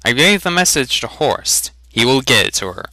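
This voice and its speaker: A man's voice: medium pitch, sing-song, moderate pace, pushed and bright, no room sound, clean studio sound. A man voicing an earnest, wistful teenage farm boy in a fantasy audiobook.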